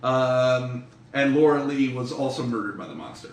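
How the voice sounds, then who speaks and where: A man's voice: medium pitch, lively intonation, moderate pace. A man narrating as game master of a tabletop horror game, casual, improvised and freely swearing. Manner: profane, colloquial. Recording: roomy, distant mic, faint noise.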